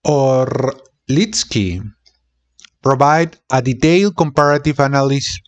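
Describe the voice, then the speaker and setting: A man reading a business textbook aloud in a deliberate, halting way, sometimes repeating words. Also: medium pitch. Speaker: channel host reading a book aloud.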